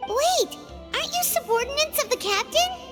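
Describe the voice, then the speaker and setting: A woman voicing a tiny, excitable female fairy-like companion in a game, chirpy and indignant. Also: extremely high pitch, moderate pace. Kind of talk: game cutscene voice acting. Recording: game audio with reverb and music.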